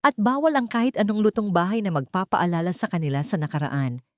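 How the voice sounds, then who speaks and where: A woman's voice: medium pitch, quick pace, no echo, clean studio sound, natural voice. Lady acting out a bossy snooty filipino expert woman, clipped orders, talks down to people, audiobook drama. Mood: strict, clinical. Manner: commanding, clipped, condescending. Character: haughty, strict female child-therapy expert, bossy and cold. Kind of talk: audiobook character dialogue mixing Tagalog and English.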